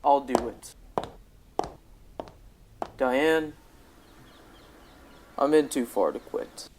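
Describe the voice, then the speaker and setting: High school guy playing a pleading husband and boss in a radio play, bit of noise behind. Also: drawn-out words, a little mellow. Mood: weary, guarded. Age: teens, high school student. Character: troubled, pleading company boss and devoted husband. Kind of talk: radio drama acting.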